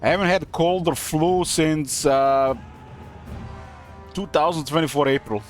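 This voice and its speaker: Halting man with a fading voice. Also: on the high side, fairly even intonation, faint music behind. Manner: halting, many ums and uhs, self-correcting. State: losing his voice. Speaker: game streamer. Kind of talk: casual gameplay commentary.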